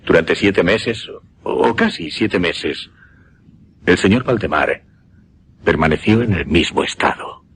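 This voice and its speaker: An actor playing an intense mesmerist who recounts a macabre experiment in a suspense radio drama, with a Castilian accent and deliberate, emphatic delivery. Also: male voice, low voice, animated, a bit bright, husky, full character voice. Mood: solemn, deliberate. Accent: Castilian Spanish.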